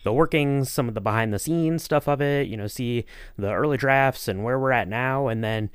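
A man's voice: mid-range voice, moderate pace, smooth, no room sound. Male podcast host, informal and rambling, mid-range voice, dry recording. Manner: rambling, informal, often saying you know. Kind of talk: casual podcast interview.

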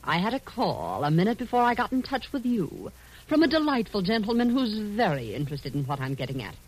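A woman's voice: mid-range voice, big pitch swings, fairly quick, husky, low-quality recording. An actress playing a sly, sophisticated female blackmailer with an arch, mocking drawl in 1940s radio drama style. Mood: cold, demanding. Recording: old radio recording, faint hiss.

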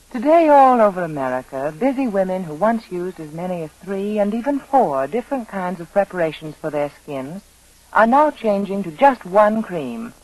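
An old radio recording of a woman with animated, widely varied intonation. She speaks as a beauty expert spokeswoman in a scripted 1940s sales style. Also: mid-range voice, poor audio.

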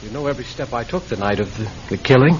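A man playing a weary, rueful military captain in a classic radio drama, speaking formally and haltingly. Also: medium pitch, lively intonation, a little mellow, natural voice. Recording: muffled old radio recording.

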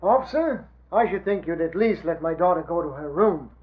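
A man's voice: fairly high pitch, animated, normal speed, lightly acted. An actor playing a protective, fretful middle-aged father in a 1940s radio drama. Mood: indignant. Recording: muffled, noisy old radio recording.

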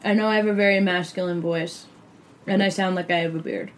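Deadpan comedian woman, guest on a podcast. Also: fairly low pitch, a little fast, rough recording. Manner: deadpan, keeps plugging her shows. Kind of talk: casual comedy banter.

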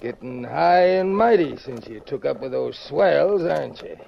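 An actor playing a bitter, scheming older uncle in an old-time radio western, with a gravelly American drawl and a wheedling, sneering manner. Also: male voice, on the high side, lively intonation, unhurried, full character voice. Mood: sneering, threatening. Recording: muffled vintage radio recording.

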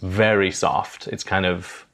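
A man's voice: sits low, little pitch movement, takes it slowly, fairly bright tone. A man with a mild, generic Northern English accent, chatting as a guest about baking and accents. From Northern England. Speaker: guest, baker and cookbook author. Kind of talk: casual chat.

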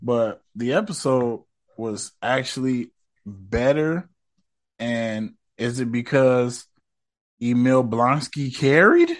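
A man hosting a casual podcast review, talking in a loose, slangy, profane and rambling way, his voice a bit rough from smoking. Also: medium pitch, animated, takes it slowly. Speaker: podcast host.

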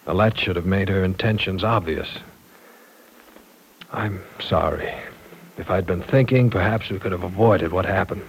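An actor playing an earnest, apologetic university professor in an old-time radio drama, formal and courteous yet insistent. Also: male voice, deep voice, normal speed, pauses often, a little hiss or hum, rough recording.

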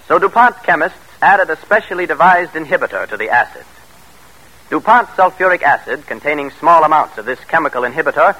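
An American man announcing in a formal, emphatic 1940s radio style, reading scripted narration with measured delivery. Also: on the high side, pushed and bright, husky, poor audio. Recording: vintage radio broadcast.

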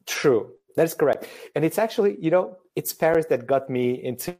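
Man, 30s, from Romania, mellow dark tone, hesitant, self-deprecating. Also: on the high side, animated, fairly quick. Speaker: photographer guest. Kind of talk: casual live-stream conversation.

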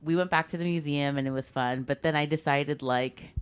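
A young woman from California with a Californian accent, telling stories in a casual, animated podcast chat full of "like". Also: low voice, fairly quick, clear, resonant tone. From Southern California. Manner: animated storyteller, lots of "like", laughing. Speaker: podcast co-host.

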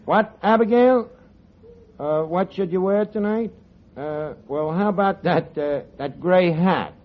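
An actor playing a wisecracking tavern manager in 1940s radio comedy, with fast street slang. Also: male voice, high voice. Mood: wheedling. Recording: lo-fi old radio broadcast with hiss and studio audience.

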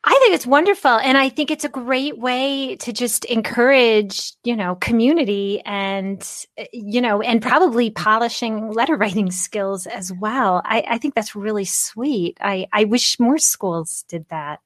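A woman's voice: fairly high pitch, measured pace, hardly any pauses, no room sound, studio quality. A woman in her fifties hosting an interview podcast, chatty and enthusiastic, laughing often. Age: 50s. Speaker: podcast host. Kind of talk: casual interview chat.

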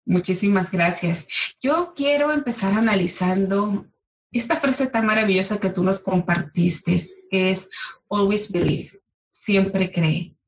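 A woman's voice: medium pitch, measured pace, dull audio. A woman hosting a podcast interview, opening with a read introduction and greeting her guest with effusive praise. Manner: warm, effusive, emphatic praise. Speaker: podcast host.

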